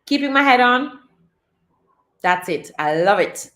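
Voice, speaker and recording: A woman chatting casually on a livestream, reading her chat and urging viewers to chase their dreams, animated and repeating herself often. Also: fairly high pitch, unhurried, roomy sound. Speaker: vlogger reading live chat.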